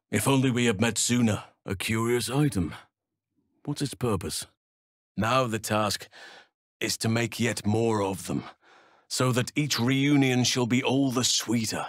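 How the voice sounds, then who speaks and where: A man voicing an ancient, earnest elf druid in a video game, speaking in a calm, formal and solemn way. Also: medium pitch, no echo, studio quality, close to an everyday voice. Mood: sincere, gentle. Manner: measured, formal, solemn phrasing. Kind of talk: video game character dialogue.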